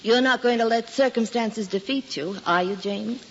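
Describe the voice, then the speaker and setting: An American actress playing an earnest, prim schoolteacher who gently admonishes a pupil in a 1940s radio drama. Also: female voice, fairly high pitch, moderate pace, faint music behind, natural voice. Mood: earnest, coaxing. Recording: dull, reverberant, noisy old radio recording.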